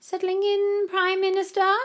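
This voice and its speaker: A woman's voice: extremely high pitch, completely flat delivery, a little slow, a bit bright, voice pushed up. A woman reader voicing a haughty, menacing female villain who sneers with mock politeness at children. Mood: mock-polite.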